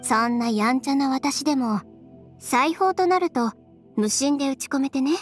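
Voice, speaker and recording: A blunt, independent young woman played by an actress speaks in a high, composed voice. Her phrasing is cool and matter-of-fact, in anime game dub style, with faint music underneath. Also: normal speed, lightly acted. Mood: reflective. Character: self-assured, blunt young female fashion designer, independent. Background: faint background music.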